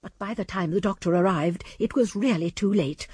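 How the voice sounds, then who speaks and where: An older woman playing a shrewd, prim elderly English spinster sleuth, narrating a radio drama in precise, husky tones. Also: mid-range voice, normal speed, darkish tone, no room sound. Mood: prim, gossipy.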